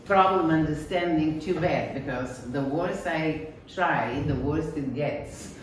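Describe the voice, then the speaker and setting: Slow, low-voiced woman with Bulgarian accent. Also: background noise. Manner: plain, earnest, self-deprecating, searching for words. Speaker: painter giving a lecture. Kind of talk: informal art lecture. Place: small bright gallery room, indoors. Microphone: far camera, standing mic at a lectern. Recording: echoey room, recorded from far off.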